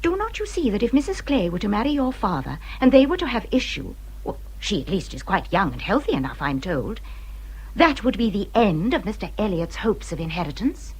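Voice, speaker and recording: Period radio drama: bitter wronged English widow, swooping intonation, husky, formal and emphatic. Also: female voice, mid-range voice, very animated, fairly quick, a little mellow, low-quality recording. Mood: insistent. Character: bitter, injured widow warning a younger friend.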